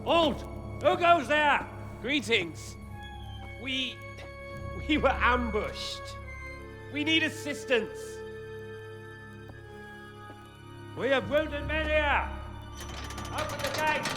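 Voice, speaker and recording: An actor playing an urgent medieval rider, calling out in a strained voice in a video game drama. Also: male voice, very high voice, animated, takes it slowly, long pauses, heavily acted. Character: urgent medieval rider shouting orders and pleas. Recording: loud music over the voice.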